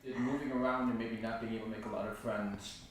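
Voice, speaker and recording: Fast-talking interviewer, far off and echoey. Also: medium pitch, fairly even intonation. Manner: asks short, rambling questions. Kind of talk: interview questions. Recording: echoey, distant, noisy.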